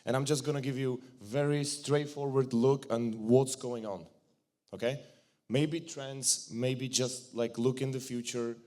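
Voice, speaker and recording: A man's voice: medium pitch, fairly even intonation, a little slow, darkish tone. Czech-accented man giving a casual conference talk. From Czech Republic. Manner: casual, joking, blunt speech with restarts. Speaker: conference speaker. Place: conference hall.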